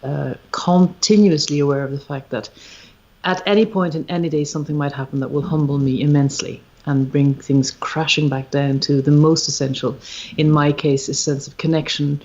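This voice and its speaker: A woman with an Irish accent, a musician being interviewed on a podcast, speaking reflectively and searching for her words with frequent pauses and ums. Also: sits low, steady tone, darkish tone. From Ireland. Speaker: guest, musician and retreat founder. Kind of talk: podcast interview, thoughtful conversation. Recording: slightly dull, lo-fi livestream audio.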